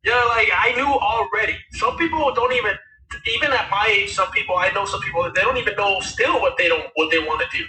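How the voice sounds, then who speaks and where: A raspy-voiced man in his thirties, a musician guest telling his story in a rambling, casual way with many fillers. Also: sits high, brisk, bright, forceful tone, roomy sound, far from the mic, a little hiss or hum, rough recording. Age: 30s. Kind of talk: casual interview talk.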